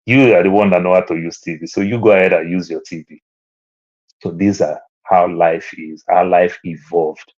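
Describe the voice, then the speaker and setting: A man's voice: steady tone, moderate pace. A man from Lagos with a Nigerian accent, speaking as a panelist in an online discussion and telling stories from his childhood, his voice rising when he drives a point home. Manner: storytelling, climbs higher and louder when making a point. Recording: dull lo-fi online audio.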